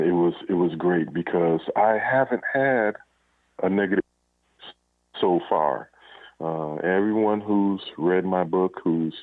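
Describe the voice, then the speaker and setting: A man being interviewed as an author over a muffled remote line, talking casually and often halting to search for words. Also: low voice, dry recording.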